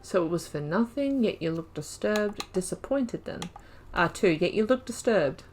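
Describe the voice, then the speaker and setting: A woman giving casual playthrough commentary as a gaming video host. Her voice is mid-pitched, and she talks informally, with wry asides as she reads and reacts. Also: lively intonation, moderate pace, darkish tone, slight background noise. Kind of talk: casual playthrough commentary, reading game text aloud.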